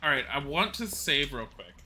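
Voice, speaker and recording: A man's voice: on the high side, sing-song, normal speed, pushed and bright. A man in his forties, a streamer chatting casually and rambling while he plays and talks with viewers. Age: 40s. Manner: rambling, chatty, laughs at own mishaps.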